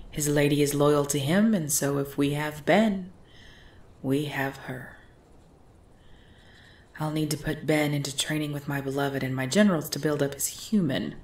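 A woman playing a commanding, possessive vampire ruler and lover in an ASMR roleplay drama, speaking in a formal, imperious, measured way. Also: low voice, darkish tone.

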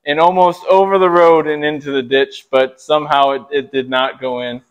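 A man in his thirties co-hosting a casual workshop vlog, easygoing and quick to laugh, recorded in a large indoor sawmill shop on a camera mic a few meters off. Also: on the high side, steady tone, smooth, highs cut off. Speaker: sawmill channel co-host.

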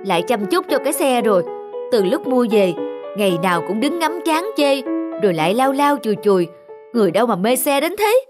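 A woman voicing a caring young mother who explains things gently in a children's cartoon. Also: fairly high pitch, sing-song, brisk, over music. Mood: grumbling. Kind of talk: Vietnamese children's cartoon dubbing.

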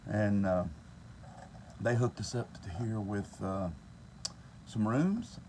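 A man's voice: on the low side, animated, takes it slowly, dark tone. A man, casually vlogging from a tour bus and chatting with his bandmates. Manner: folksy, teasing, chatty. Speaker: singer hosting a tour vlog. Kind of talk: casual phone vlog. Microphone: phone at arm's length. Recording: noisy phone audio in a bus.

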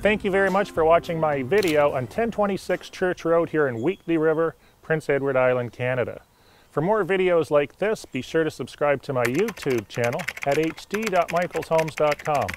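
A man's voice: fairly high pitch, moderate pace. A middle-aged man presenting a property tour as a real estate agent, in an upbeat, scripted salesman's delivery.

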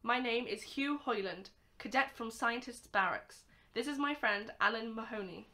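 A woman's voice: a little high, moderate pace, sharp tone. A woman acting an earnest, formal young male cadet scientist in an amateur radio drama. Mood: polite, earnest.

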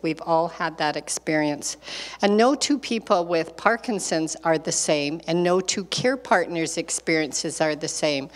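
Older woman with a handheld mic in a reverberant hall. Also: lowish voice. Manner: earnest, encouraging, repeats key points. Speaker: care partner panelist. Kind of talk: panel talk, advice to audience. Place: large meeting hall. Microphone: handheld microphone.

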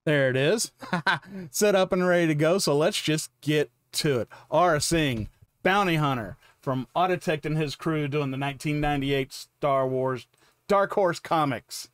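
A man's voice: fairly high pitch, a little slow, a bit bright, clean tone, no echo. A middle-aged man giving casual, enthusiastic commentary as a reaction video host, close to the microphone. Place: small indoor home studio.

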